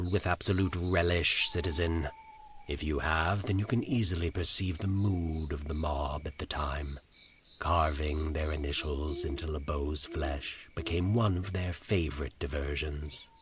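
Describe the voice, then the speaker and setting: A man's voice: a bass voice, a little hiss or hum. A man voicing a sly, sadistic cloaked stranger in a horror story, speaking in a taunting, dreamy, commanding manner. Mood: sly relish. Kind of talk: horror audio story dialogue.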